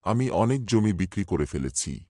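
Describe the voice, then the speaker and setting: A man playing a low, humbled old landlord. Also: very animated, moderate pace, a little mellow, dry recording, studio quality. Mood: sorrowful. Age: older. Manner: pleading, confessional. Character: remorseful, humbled older male moneylender landlord. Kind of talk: cartoon voice acting.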